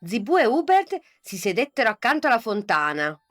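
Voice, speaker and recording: A woman reading a children's bedtime fairy tale in a soft, calm, lulling voice. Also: on the high side, fairly quick, soft background music. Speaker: storyteller. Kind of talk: scripted bedtime story narration.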